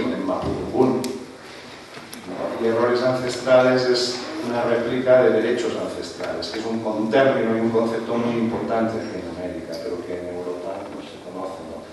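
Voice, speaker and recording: A man's voice: medium pitch, recorded from a distance, noisy background. A man who is not a native Spanish speaker giving an academic talk to an audience, lecturing with frequent rhetorical tag questions. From Spain. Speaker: panelist. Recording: roomy hall, speaker away from mic, faint ambience.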